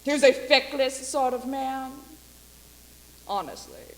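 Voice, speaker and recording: A woman playing a brash female Hollywood agent in a dramatic stage monologue, speaking deliberately with long pauses. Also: high-pitched, expressive, slight background noise. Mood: somber. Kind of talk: theatrical dramatic monologue for speech competition.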